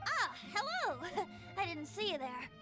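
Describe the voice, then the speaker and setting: A woman's voice: extremely high pitch, huge pitch swings, measured pace, exaggerated voice. A woman voicing a whimsical, theatrical, tipsy young male bard with florid delivery in an anime game. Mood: tipsy, jubilant. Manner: grandiose, florid. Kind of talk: anime game dub acting. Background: music.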